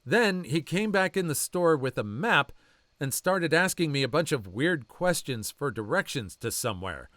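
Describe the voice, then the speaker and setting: A man reading online stories aloud as a channel narrator, with a lively, swooping delivery. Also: a little high, moderate pace, dry recording, clean studio sound. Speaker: story narrator.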